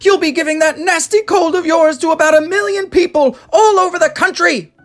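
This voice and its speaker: A woman's voice: very high voice, fairly quick, faint music behind, pitched above the performer's own voice. Piercing, hysterical shrieking mother. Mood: scolding. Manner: screaming, scolding. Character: shrill, hysterical, indignant mother.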